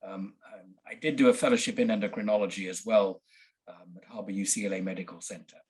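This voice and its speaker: A man, a guest on a podcast, telling his background casually with many pauses and ums. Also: fairly low pitch, steady tone, darkish tone, husky. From Switzerland, raised in central England. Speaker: guest, patent agent. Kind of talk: casual interview talk.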